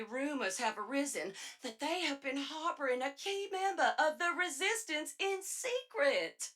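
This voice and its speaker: Bright, high, wry game narrator. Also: female voice, full character voice. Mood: confiding. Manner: theatrical, tongue-in-cheek. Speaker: audio log narrator. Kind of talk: scripted comedic narration.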